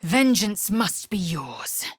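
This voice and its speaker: An actress voicing a fierce, haughty young alien warrior woman in a video game, husky and breathy, with an imperious, clipped, formal manner. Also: mid-range voice, sing-song, slow pace, no echo, studio quality, exaggerated voice. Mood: fierce, commanding.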